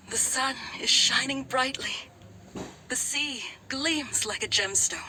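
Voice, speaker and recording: Bright, slow, serene poetic young woman. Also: fairly high pitch, slight background noise, heavily acted, low-quality recording. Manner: slow, deliberate, lyrical delivery. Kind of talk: English video game voice acting.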